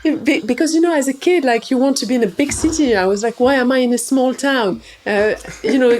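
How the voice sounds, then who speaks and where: A woman's voice: high voice, normal speed, a little mellow, poor audio. Woman from Morocco telling her story. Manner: fluent, storytelling. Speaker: guest. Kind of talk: casual interview.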